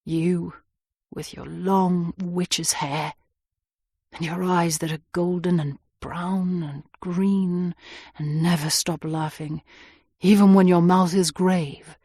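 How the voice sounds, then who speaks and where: A woman voicing an anguished young man on the run, who swings from vehement outbursts to hushed, tender pleading in a husky, breathy voice. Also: on the low side, no echo, studio quality, voice pushed down. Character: anguished young man, hunted fugitive, passionate and brooding.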